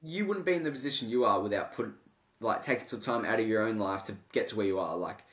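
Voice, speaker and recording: A man's voice: lowish voice, animated, fast talker, fairly bright tone. A young man hosting a podcast, chatting casually with lots of swearing and "bro". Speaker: podcast host. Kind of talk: casual chat.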